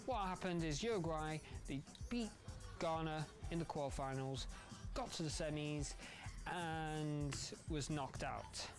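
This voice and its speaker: A man narrating a football documentary in a measured, explanatory way. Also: on the high side, music in the background.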